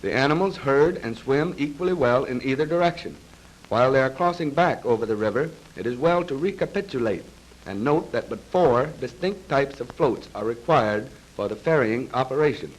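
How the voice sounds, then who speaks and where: A male narrator delivers scripted military instruction in the style of a 1930s training film. His pitch sits in the middle range for a man. He speaks formally and precisely, with a measured delivery, as heard on a vintage film soundtrack. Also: lively intonation, poor audio. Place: voice-over recorded for a film.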